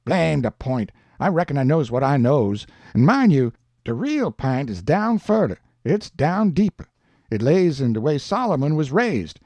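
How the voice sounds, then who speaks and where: A man's voice: a little high, big pitch swings, a little slow, a little mellow, no room sound, full character voice. A man voicing a stubborn, indignant, folksy man who argues emphatically in a Southern US dialect. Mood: insistent. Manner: emphatic, argumentative, dialect speech.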